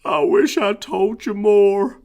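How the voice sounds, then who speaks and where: A man's voice: high-pitched, lively intonation, slow, a little mellow, muffled recording, voice pushed up, heavily acted. A man voicing a grieving rural father who speaks in a folksy country dialect, his lament half a prayer.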